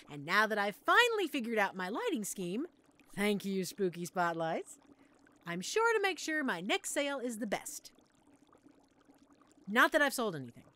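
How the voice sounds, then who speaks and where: Woman doing a chatty trainee witch for audio roleplay, rambly n flustered, bright voice that swoops around. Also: on the high side. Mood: anxious, muttering. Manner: theatrical, rambling, expressive. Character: chatty, flustered young witch-in-training running a magic shop. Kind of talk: scripted audio roleplay, addressed to the listener.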